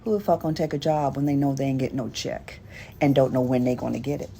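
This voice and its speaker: A woman's voice: a bass voice, steady tone, fast talker, creaky, mellow. An American woman on a casual political rant, blunt, sardonic and profane, in a noisy clip recording.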